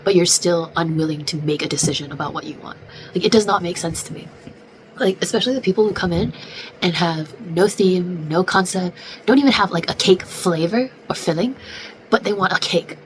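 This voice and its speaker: A woman's voice: lowish voice, brisk. A young woman in her twenties chatting casually in a vlog, congested and close to losing her voice, filling her talk with "like". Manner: chatty, venting, many "like" fillers. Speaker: vlogger. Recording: noisy background.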